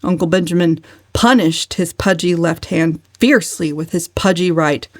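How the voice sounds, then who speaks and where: A woman's voice: on the low side, moderate pace, no echo, clean studio sound. A woman narrating an audiobook as a scripted dramatic reading.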